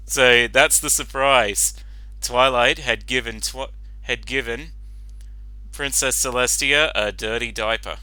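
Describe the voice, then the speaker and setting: A man giving casual reaction commentary as the author of the story, explaining in a halting, repetitive way. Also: mid-range voice, pushed and bright, no room sound, low-quality recording.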